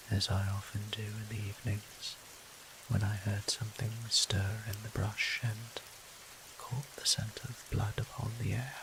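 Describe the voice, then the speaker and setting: A man playing a gentle, doting vampire priest and healer in a roleplay audio drama, speaking softly in formal, old-fashioned, courteous phrasing. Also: lowish voice, noisy background. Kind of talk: scripted roleplay audio drama, addressing the listener.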